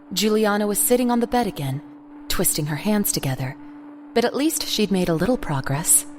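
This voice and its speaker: A woman's voice: mid-range voice, a little hiss or hum. A woman reading scripted third-person story narration for an audio drama. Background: music.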